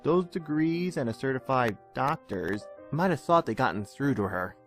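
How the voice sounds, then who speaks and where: A man narrates a dramatic story with a theatrical, expressive delivery. His pitch moves in lively swings around a medium register, with soft music underneath. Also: normal speed.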